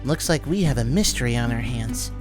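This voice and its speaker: A man puts on a sensible, businesslike male adventurer in a fantasy audio drama. He is brisk and questioning, with lively swings in his raised voice and music behind him. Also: mid-range voice, lightly acted. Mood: decisive.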